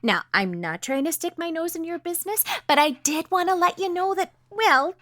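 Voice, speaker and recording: A woman's voice: extremely high pitch, expressive, normal speed, a bit bright, dry recording. An actress voicing a nosy, folksy small-town woman neighbor with a North Dakota accent, sugary and gossipy, full of old-fashioned sayings. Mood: prying, huffy. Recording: dry close studio sound.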